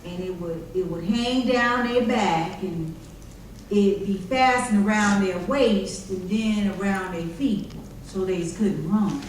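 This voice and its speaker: A woman performs an earnest, plainspoken former slave recalling the past in folksy dialect. The delivery is slow and carries from far away through a very echoey hall. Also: mid-range voice, lightly acted. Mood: somber. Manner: folksy dialect, measured storytelling. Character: formerly enslaved person recalling plantation life, earnest, plainspoken. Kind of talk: readers theater monologue performed on stage. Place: church hall. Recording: distant mic, very echoey hall, faint noise.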